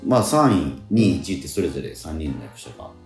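A man's voice: very deep voice, sing-song, quick pace, darkish tone, echoey room. A man hosting a casual radio talk show. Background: background music.

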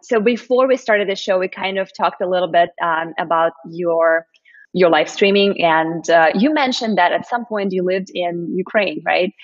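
A woman's voice: fairly low pitch, moderate pace. A woman from Moscow, Russia, hosting a live-stream interview in an upbeat, encouraging way with many fillers. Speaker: host. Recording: dull lo-fi stream audio.

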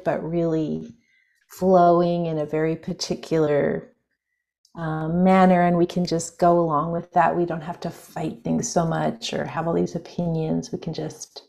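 Female spiritual-teacher guest, lower register, meandering and reflective delivery. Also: vocal fry. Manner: musing, loose, with many fillers and soft laughs. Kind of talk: reflective interview conversation.

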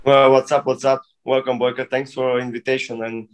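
Man in his thirties, halting English. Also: medium pitch, little pitch movement, clear, resonant tone, lo-fi sound. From Bulgaria. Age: 30s. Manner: halting non-native English with many restarts. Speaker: guest breakdancer. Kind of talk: casual interview.

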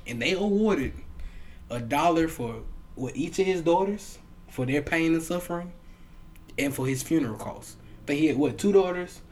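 A man's voice: a little high, animated, moderate pace, a little mellow. A young man hosting a podcast, telling stories emphatically and laughing often. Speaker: podcast host. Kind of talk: casual chat.

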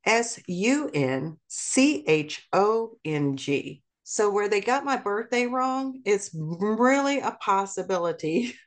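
A woman's voice: medium pitch, lively intonation, takes it slowly, few pauses. A middle-aged woman from South Korea, a podcast guest speaking earnestly and carefully, spelling out names and details. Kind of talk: conversational interview.

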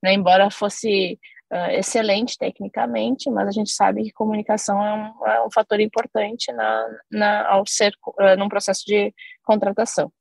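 A woman's voice: mid-range voice, little pitch movement, moderate pace, gravelly, no echo. A woman in her thirties from Brazil, a guest on a podcast, chatting casually and telling a long story with many fillers and asides. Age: 30s. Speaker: podcast guest, company CEO.

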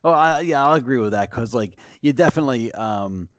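A man's voice: medium pitch, lively intonation, fairly quick, no room sound, dull audio. A man with a mid-range voice co-hosts a casual podcast. He rambles along in loose sentences packed with fillers. The sound is dry and close to the mic. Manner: rambling, filler-heavy, easy laughs. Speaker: podcast co-host. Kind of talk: casual chat.

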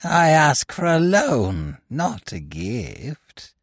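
A man voicing a mocking, gloating demonic dwarf in a gothic storybook tale, screeching and gravelly, with theatrical archaic speech. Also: on the high side, huge pitch swings, extremely slow, no echo, studio quality, voice pushed up, full character voice. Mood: wheedling.

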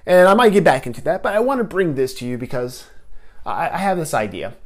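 A man's voice: a little high, sing-song, brisk. A young man talks through a laid-back solo podcast recorded at home. He rambles a bit, restarts his sentences and leans on filler words. His voice sounds a little stuffy from a lingering head cold. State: getting over a head cold. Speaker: podcast host. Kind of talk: casual solo podcast talk.

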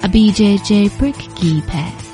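A woman's voice: medium pitch, lively intonation, unhurried, warm tone, soft background music, natural voice. A woman playing a sultry late-night radio DJ. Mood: sultry, teasing. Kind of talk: scripted radio announcement.

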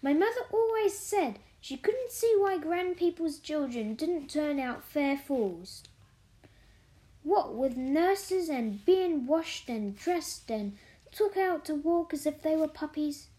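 A woman's voice: high voice, expressive, slow pace, dark tone, voice pushed up. A woman voicing a good-humoured, plain-spoken young housemaid who talks in broad Yorkshire dialect, for a storybook. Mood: frank, amused.

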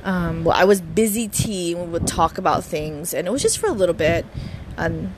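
A woman's voice: fairly low pitch, animated, normal speed, background noise. Chatty Hawaiian-born woman who stutters. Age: 30s. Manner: chatty, giggly, stutters and restarts often. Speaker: podcast host. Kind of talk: casual podcast introduction. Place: home.

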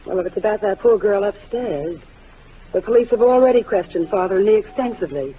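A woman's voice: medium pitch, animated, a little fast, husky. An actress playing a poised, defensive young woman in a 1940s radio melodrama, genteel and theatrical. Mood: indignant, guarded. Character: poised, defensive young woman shielding her guilty father. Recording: tinny, noisy old radio broadcast.

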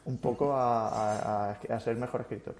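A man speaking as a guest in a relaxed interview, reflective and rambling, with frequent restarts. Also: mid-range voice, moderate pace. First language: Spanish. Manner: thoughtful, rambling with many restarts. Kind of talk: casual interview chat.

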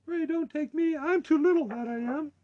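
Littlest storybook billy goat: very high, small, timid, pleading. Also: male voice, normal speed, no room sound, highs cut off. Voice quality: small, thin voice.

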